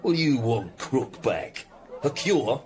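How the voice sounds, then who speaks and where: An actor playing a taunting, contemptuous armed killer in a period radio drama, with a gravelly voice. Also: male voice, mid-range voice, very animated, slow pace, crowd noise. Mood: mocking contempt. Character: sneering, menacing armed male killer in Tudor London.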